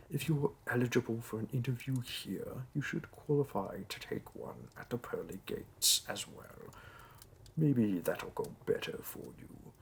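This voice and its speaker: A man voicing a demon interviewer in a roleplay audio drama, dry and condescending with mock sympathy, in a low gravelly voice. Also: slight background noise. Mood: mock-helpful. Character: bureaucratic male demon interviewer, disappointed, politely wicked.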